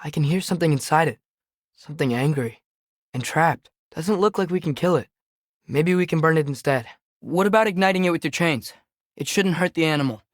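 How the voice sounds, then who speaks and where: A man's voice: a little high, a little fast, dry recording, studio quality, close to an everyday voice. A young male actor with an American accent playing an earnest, curious teenage boy adventurer in a video game, with a light youthful voice and casual, observant delivery. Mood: thoughtful, curious. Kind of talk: video game companion dialogue.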